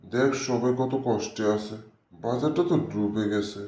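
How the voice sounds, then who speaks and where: A man's voice: fairly low pitch, normal speed, mellow, roomy sound, lightly acted, poor audio. A man voicing a sceptical but helpful village boy in a cartoon. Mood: concerned. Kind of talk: cartoon voice acting.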